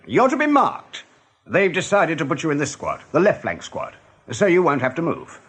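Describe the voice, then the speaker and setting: An actor playing a brisk rabbit captain in a radio play, commanding, mockingly jovial and husky. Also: male voice, fairly high pitch, huge pitch swings, a bit bright, full character voice. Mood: jovially commanding. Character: brisk male rabbit captain, genial yet menacing officer. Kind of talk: radio drama acting.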